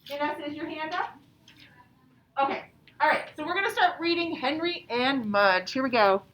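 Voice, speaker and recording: A high-voiced woman speaks as an elementary teacher, guiding young children through a lesson and storybook. She is instructive and often checks in with questions. The sound is roomy and a little far from the mic, with faint noise. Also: normal speed, fairly bright tone.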